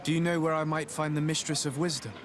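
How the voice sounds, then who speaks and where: An actor playing a courteous, confident noble envoy in a video game, formal and eager to help. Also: male voice, on the high side, level tone, quick pace, crowd noise, lightly acted. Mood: polite. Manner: polite, crisp, formal. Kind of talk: video game cutscene acting.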